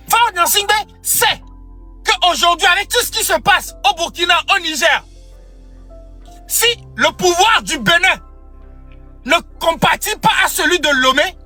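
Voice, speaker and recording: A man's voice: extremely high pitch, sharp tone. A young man giving an impassioned political speech, repeating phrases for emphasis. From Togo. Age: young adult. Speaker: activist.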